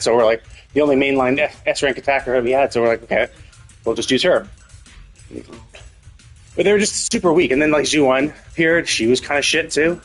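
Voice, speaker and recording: A man with a husky voice giving casual, rambling gaming commentary as a live streamer, swearing freely. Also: medium pitch.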